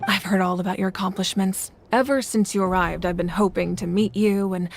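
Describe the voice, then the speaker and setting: A woman playing a composed, commanding leader of a nation in an anime video game, measured, dignified and resolute. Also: medium pitch, steady tone, lightly acted. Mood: warm, gracious. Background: game music.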